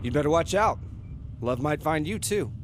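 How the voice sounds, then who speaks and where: A man's voice: a little high, lively intonation, normal speed, a little hiss or hum, lightly acted. An actor playing an anguished, defiant young man held captive by aliens in an audio drama, emotional and sarcastic. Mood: wry challenge. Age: young adult.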